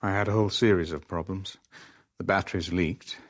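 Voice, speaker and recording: A man's voice: low-pitched, fairly even intonation, brisk, dry recording. An actor playing a pragmatic, sceptical businessman in a radio drama, with a dry, understated way of talking. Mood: wry. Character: pragmatic, wry male businessman, sceptical and worldly. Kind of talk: radio drama dialogue and first-person narration.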